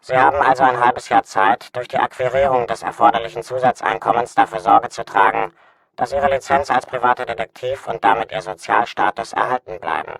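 Man acting bureaucrat, medium pitch, formal, officious, patronising, reciting official notices, radio drama. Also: fairly even intonation, fairly quick, fairly bright tone, close to an everyday voice. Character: bland, officious male licensing-office official delivering notices.

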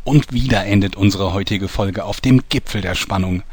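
A man's voice: deep voice, moderate pace, loud music behind the voice, lightly acted. A man playing a theatrical, mock-suspenseful storyteller narrating a scripted parody soap. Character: storytelling narrator, a mock-dramatic fairy-tale uncle.

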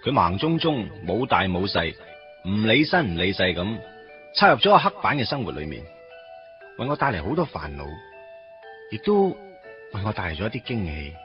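A man's voice: fairly low pitch, big pitch swings, moderate pace, long pauses, muffled recording, over music. An actor with a Hong Kong Cantonese accent playing a wistful, teasing young man in a radio drama, speaking in a wry, colloquial way. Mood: wistful, reflective. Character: wistful, teasing young man reuniting with his first love. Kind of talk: radio drama acting with narrated inner monologue.

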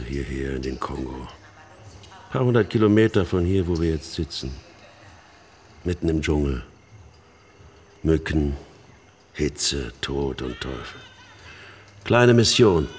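An actor playing an embittered middle-aged man telling a haunted story in halting, emphatic phrases in a radio play. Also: a bass voice, slight background noise. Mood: brooding, wry. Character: embittered older man haunted by his missionary sister's death. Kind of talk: dramatic monologue in a German radio play.

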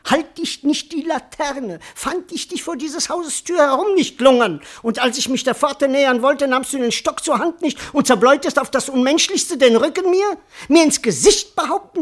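An actor playing a cowardly, bewildered servant in a classical verse radio comedy, wordy, plaintive and comically indignant. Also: male voice, extremely high pitch, moderate pace, hardly any pauses. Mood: indignant, baffled, then aggrieved. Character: bewildered, cowardly male servant doubting his own identity.

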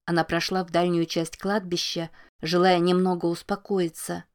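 A woman narrating a novel in a calm, measured reading voice. Her voice sits fairly low and stays steady, with very little rise and fall in its melody. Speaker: audiobook narrator.

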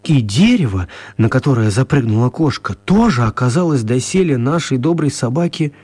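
A man narrating a children's radio play as an expressive storyteller, with theatrical, deliberate phrasing. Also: mid-range voice, slightly rough, dry recording.

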